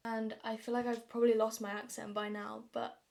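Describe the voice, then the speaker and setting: A woman's voice: on the high side, little pitch movement, a little fast, creaky, a little mellow. A nineteen-year-old woman with a southern English accent chatting casually and self-deprecatingly to viewers in a vlog. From London, England. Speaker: vlogger. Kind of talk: casual get-ready-with-me chat to viewers.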